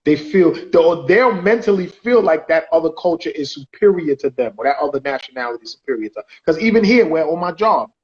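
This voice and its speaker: A man co-hosting a podcast in casual debate, rambling loosely and shouting out viewers' comments. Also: a little high, lively intonation, brisk, hardly any pauses, dull audio. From United States. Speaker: podcast co-host.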